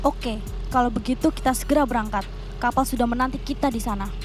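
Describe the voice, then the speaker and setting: A brisk, commanding young spy leader, voiced by a woman for a children's puppet play. The voice sits high and stays upbeat while she gives orders to the team. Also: soft background music, lightly acted. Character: bossy young spy who leads the team. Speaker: puppet voice actor.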